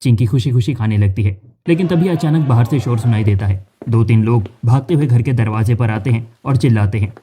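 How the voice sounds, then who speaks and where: A man narrates a cartoon story from a script. His voice is mellow and dark, at a middling pitch. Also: a little fast, hardly any pauses.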